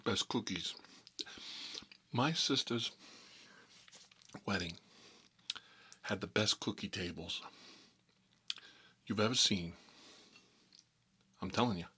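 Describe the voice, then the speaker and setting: A man's voice: fairly low pitch, bright, forceful tone, husky, exaggerated voice. A man playing a boastful Italian-American mob boss in an improvised ASMR role-play, rambling and muttering between bites of food. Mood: chatty, explaining. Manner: rambling, halting, muttering, talking with food in his mouth. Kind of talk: improvised ASMR character role-play, eating while talking.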